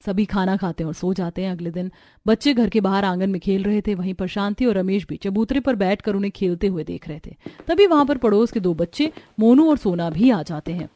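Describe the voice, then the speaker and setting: A woman's voice: mid-range voice, brisk, dark tone, no echo, clean studio sound. A woman reading scripted story narration as the narrator.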